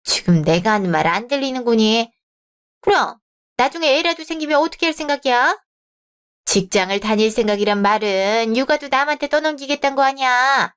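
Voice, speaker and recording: A woman's voice: on the high side, animated, brisk, a bit bright, smooth. A woman voicing a haughty, scornful middle-aged future mother-in-law in a radio drama, talking down and scolding. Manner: condescending, scolding, talks down.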